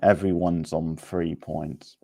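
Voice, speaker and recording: A low-pitched man runs a casual quiz at a slow pace. His voice has a gravelly fry to it. He speaks to players informally and sets out each option deliberately. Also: flat delivery, mellow. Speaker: quiz host. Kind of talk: casual live quiz hosting.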